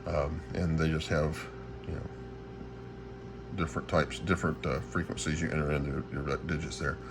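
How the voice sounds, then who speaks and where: A man with a strikingly deep voice walks through equipment informally, like a hobbyist showing his gear. He often stops to search for the right word. Clear background noise runs through the amateur recording. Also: level tone. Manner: halting, searching for words. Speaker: radio hobbyist presenter.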